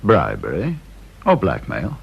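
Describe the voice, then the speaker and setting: Sardonic British security chief, gravelly, mock-polite radio drama villainy-free wit, dull old recording. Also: male voice, deep voice, wildly swooping pitch, a little slow, full character voice. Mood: smooth, authoritative. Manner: dry, teasing, mock-polite. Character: sardonic, talkative male senior security interviewer.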